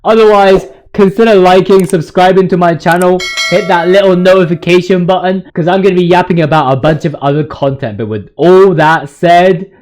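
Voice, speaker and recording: Young male gaming commentator, high voice, excitable and slangy, loose rambling delivery. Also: normal speed, few pauses. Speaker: video host. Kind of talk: casual video game commentary.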